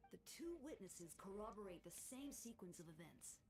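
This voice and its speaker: An actress playing a composed female court official in a video game, speaking formally and deliberately. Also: medium pitch, very animated, darkish tone, faint music behind. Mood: formal, inquiring. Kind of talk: dramatic game voice acting.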